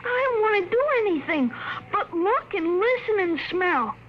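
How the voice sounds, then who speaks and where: A woman puts on a sulky, willful little boy with a very high voice, pressing his point over and over. The radio drama sounds muffled, with a steady hiss under it. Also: normal speed. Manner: sulky, insistent. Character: spoiled willful young boy, quietly menacing. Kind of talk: 1950s radio drama acting. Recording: muffled hissy 1950s radio broadcast.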